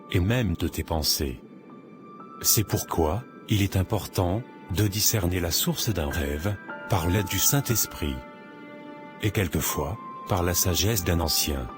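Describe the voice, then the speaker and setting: A man voicing a calm, wise cartoon pastor. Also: low-pitched. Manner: calm, instructive, sermon-like. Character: wise older male pastor, gentle counselor. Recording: music playing behind.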